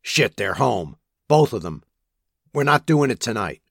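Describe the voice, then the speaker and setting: Narrator doing a gravelly, bossy criminal lookout. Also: male voice, medium pitch, big pitch swings, a little slow, fairly bright tone, no echo, studio quality, voice pushed up, exaggerated voice. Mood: curt, impatient. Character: gruff criminal lookout man, bossy and cautious. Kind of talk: audio drama acting.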